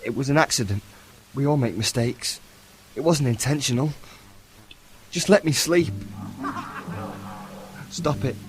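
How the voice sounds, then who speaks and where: A man's voice: mid-range voice, expressive, a little slow, pauses often, slight background noise, close to an everyday voice, rough recording. An actor playing a guilt-ridden, sleepless young man in an audio horror drama. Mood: agitated, desperate.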